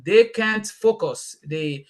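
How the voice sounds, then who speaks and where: A man from Nigeria with a Nigerian accent, whose first language is Yoruba, speaking as a guest on a leadership panel and talking passionately. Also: high voice. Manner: passionate, fast and emphatic, repeating words when excited. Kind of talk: panel talk answering questions.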